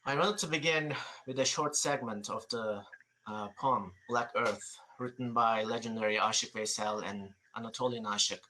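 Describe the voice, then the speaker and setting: Turkish-accented man, bright halting speech. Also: medium pitch, a little hiss or hum, low-quality recording. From Turkey. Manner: halting, many pauses and fillers. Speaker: guest speaker, seed saver. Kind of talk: online presentation.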